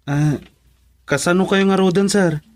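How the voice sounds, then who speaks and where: An actor with a Filipino accent playing a polite, hesitant, bashful driver in a radio drama. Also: male voice, high voice, unhurried, no room sound. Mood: nervous, deferential. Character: deferential, bashful male driver of a rich couple.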